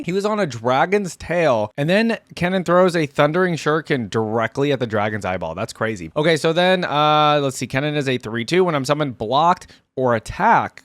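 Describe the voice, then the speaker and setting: A young man giving casual, expressive reaction commentary as a video host, easily amused. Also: a little high, no echo. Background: game audio and music.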